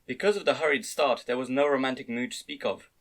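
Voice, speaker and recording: Guy doing an amateur dramatic reading, narrating a story, medium pitch. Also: quick pace, a bit bright. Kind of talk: dramatic reading of fan-read light novel narration.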